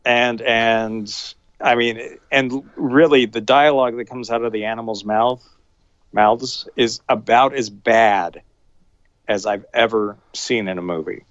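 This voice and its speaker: A man co-hosts a casual film review podcast and talks in halting bursts, often restarting his sentences. The recording is dry and close, with a somewhat dull, lo-fi quality. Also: medium pitch, steady tone, a bit bright, no room sound. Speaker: podcast co-host.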